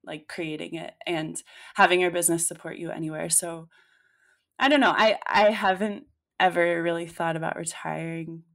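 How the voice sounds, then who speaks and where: A woman's voice: on the low side, fairly even intonation, moderate pace, gravelly, a bit bright. A woman in her late twenties, co-hosting a podcast in casual chat, talking freely with many fillers and restarts. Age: late 20s. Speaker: podcast co-host.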